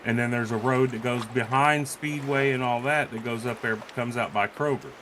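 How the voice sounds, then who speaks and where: Casual, blunt male streamer with a mid-pitched voice, swears freely, clear background noise. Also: fairly even intonation, moderate pace. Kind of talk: casual chat on a live stream.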